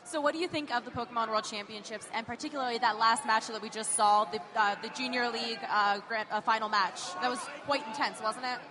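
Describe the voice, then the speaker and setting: A woman's voice: fairly high pitch, steady tone, fast talker, few pauses, crowd noise. A woman hosting on-floor interviews at an event, upbeat and polished. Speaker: event reporter host.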